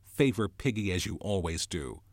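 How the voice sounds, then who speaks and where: A man voicing an aggressive, contemptuous boy bully in a storybook, sneering and shouting. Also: low-pitched, expressive, moderate pace, no room sound. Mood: bitter mockery.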